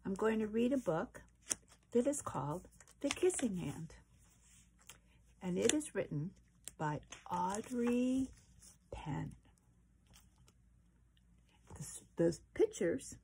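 A woman's voice: on the low side, gravelly, warm tone, a little hiss or hum, low-quality recording. A middle-aged woman reading a picture book aloud to children in a small room. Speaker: storybook reader. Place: small wood-panelled room indoors.

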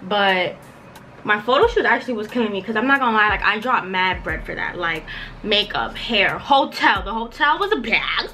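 A woman's voice: mid-range voice, expressive, moderate pace, bright, forceful tone, slight background noise. A young woman chatting casually and slangily as a vlogger, rambling along with lots of filler words. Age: young adult, around twenties. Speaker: beauty and lifestyle vlogger. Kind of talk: casual chatty get-ready-with-me vlog. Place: bedroom at home, indoors.

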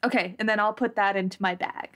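A woman's voice: mid-range voice, fairly even intonation, fast talker, a bit bright. Woman player narrating her moves. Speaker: tabletop game player. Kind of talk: casual improvised roleplay narration.

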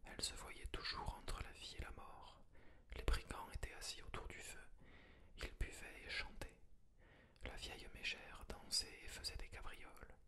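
A storyteller reading a fairy tale aloud in a steady, hushed whisper for an ASMR listener. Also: normal speed.

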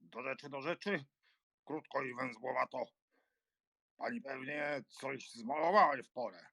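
An actor playing a blustering, cynical, hot-tempered major in an audiobook drama, gruff and scornful in manner. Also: male voice, mid-range voice, measured pace, bright, forceful tone, no echo, exaggerated voice. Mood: gruff, impatient.

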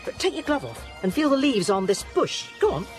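Animated, dry-witted scientist. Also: female voice, high voice, fairly quick, faint music behind. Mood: keen, wry. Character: sharp, inquisitive woman scientist, dry-witted. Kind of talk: radio drama acting.

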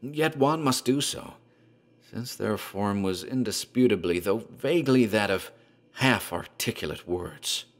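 A man narrating a horror story in a dark, deliberate, theatrical way. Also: on the low side, animated.

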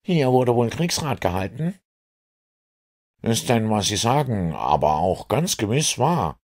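A man reading an audiobook, voicing an agitated police inspector in a husky, animated voice. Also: on the low side, measured pace, pitched above the performer's own voice. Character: gruff, agitated middle-aged male police inspector.

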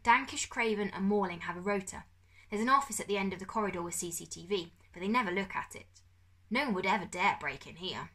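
A woman reading an audiobook, voicing a young guide who whispers her explanations in a hushed, matter-of-fact way. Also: mid-range voice, fast, lightly acted. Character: quiet, knowing young girl guide, whispering. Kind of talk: audiobook character voice.

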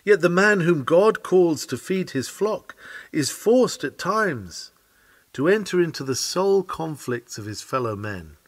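A man reading an old-fashioned sermon aloud from a script in a measured, formal preaching manner. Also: a little high, animated, no room sound. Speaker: sermon reader.

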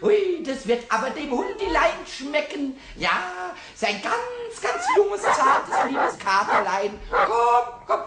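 A man's voice: big pitch swings, takes it slowly, hardly any pauses, heavy background noise, heavily acted. An actor playing a cheeky, mischievous little male kobold in a 1960s radio play, who chatters, stammers and repeats himself. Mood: gleeful. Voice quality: high squeaky voice, chatters and stammers.

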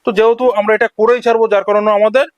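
A man's voice: high voice, level tone, very fast, no room sound, lo-fi sound. A man narrating an instructional screen-recording tutorial, explaining each step in turn as a voice-over. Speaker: tech tutorial host.

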